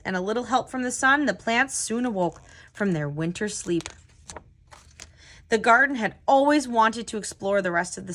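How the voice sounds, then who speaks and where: A woman hosting a children's read-aloud as a tutor, telling the story with clear, expressive phrasing. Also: a little high.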